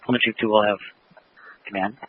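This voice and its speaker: A man with a low, even voice gives a terse fire report over two-way radio. The sound is thin and phone-like, with faint hiss. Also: brisk. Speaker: fire chief.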